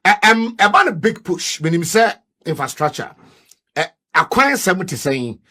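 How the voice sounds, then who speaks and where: A man's voice: high voice, sing-song, unhurried, bright, forceful tone, breathy edge. A man with a Ghanaian accent interviewing in a conversational way, giving short prompts and questions.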